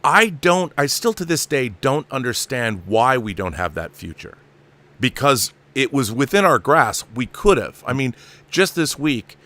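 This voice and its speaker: A middle-aged man with a bright, punchy voice at an average pitch. He hosts a casual chat with effusive emphasis, wandering from one thought to the next. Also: very animated, a little slow. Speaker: talk show host, interviewer. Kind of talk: casual enthusiastic interview chat.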